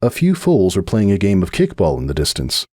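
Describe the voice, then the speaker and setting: A man narrates fiction as a dramatic reading. His scripted delivery has varied, lively pitch, recorded close and dry. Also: fairly quick, dry recording.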